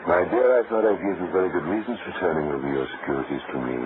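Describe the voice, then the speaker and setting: An actor playing a pompous, condescending, easily irritated wife-killer with formal speech in an old-time radio drama. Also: male voice, sits low, expressive, rapid-fire. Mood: smooth, condescending. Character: smooth, pompous wife-killing bigamist, unhinged and easily irritated. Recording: tinny, noisy old radio recording.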